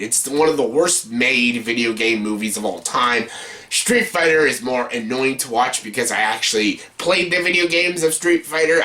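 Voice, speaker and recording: Give the voice of a man reviewing on video in a casual, ranting style. He sits at a medium pitch and talks bluntly, with plenty of exasperation and frequent swearing. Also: sharp tone. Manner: blunt, heavily profane, exasperated. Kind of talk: casual ranked movie review rant.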